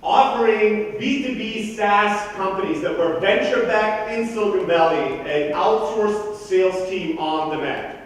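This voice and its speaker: A man's voice: sits high, a little slow, distant mic, a little hiss or hum. Blunt, profane man in an echoey hall. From Greece, raised in southern Germany. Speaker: conference speaker. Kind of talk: keynote talk. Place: large conference hall.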